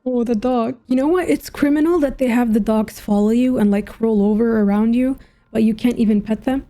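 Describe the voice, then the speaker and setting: A woman's voice: fairly high pitch, fairly quick, dark tone. A young woman giving casual let's-play commentary, musing aloud half to herself. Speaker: gaming streamer. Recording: game music behind the voice.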